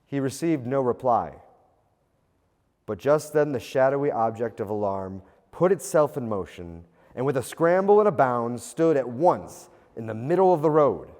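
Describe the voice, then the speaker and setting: A man reading a classic tale as a storyteller, with a theatrical, expressive, old-fashioned literary delivery. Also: mid-range voice, normal speed. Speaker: storyteller, audiobook reader. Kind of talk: dramatic scripted narration of a classic ghost tale. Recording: long reverberant hall echo.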